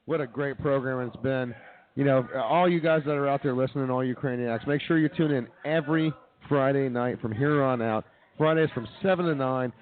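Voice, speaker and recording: A tinny, phone-like recording of a male comedy radio host. He talks quickly in casual, cheeky banter at a middling pitch. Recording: tinny narrow phone-like sound.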